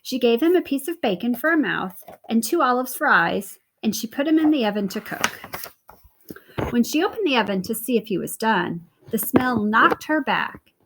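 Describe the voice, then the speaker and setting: A woman reading a children's story aloud with expressive narration. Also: a little high, normal speed, background noise. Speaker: storybook reader.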